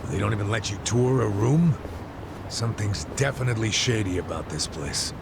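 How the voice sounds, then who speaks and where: A man playing a knowing, calm but suspicious companion in an English game dub, measured and pondering. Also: on the low side, creaky, background noise.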